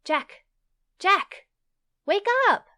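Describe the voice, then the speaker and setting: Woman playing an excitable, high-pitched young companion. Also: very slow, full character voice. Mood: urgent, loud. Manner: bubbly, insistent. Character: excitable, eager, bossy young female companion. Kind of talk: fantasy audio drama dialogue.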